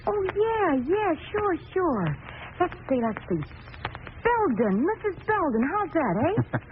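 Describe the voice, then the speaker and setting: An actress playing a spirited amateur sleuth who poses as a nervous housewife, in a theatrical old radio-drama style. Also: female voice, very high-pitched, sing-song, slow pace, full character voice. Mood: eager, playful. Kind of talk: 1940s radio drama acting. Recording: noisy narrow-band vintage radio recording.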